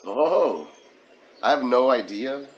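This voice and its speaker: A man co-hosting a casual sports watch-party livestream from his garage, chatty and quick to laugh. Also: mid-range voice, expressive, lo-fi sound. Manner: laughs often, rambling and chatty. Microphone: phone.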